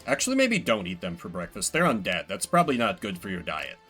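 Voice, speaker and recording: Lively, bright-voiced man commentating a game. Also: on the low side, quick pace, soft background music. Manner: wry, playful, swears casually. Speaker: video game streamer. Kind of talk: casual gameplay commentary.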